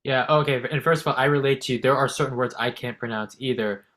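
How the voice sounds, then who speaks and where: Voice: medium pitch, quick pace, fairly bright tone. A podcast host asking long, rambling questions and repeating words while framing them. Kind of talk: casual interview questions.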